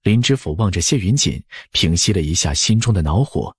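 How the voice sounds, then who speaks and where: A man's voice: low-pitched, expressive, a little fast, slightly rough, no room sound, studio quality. A man narrating a novel as an audiobook, scripted and dramatic.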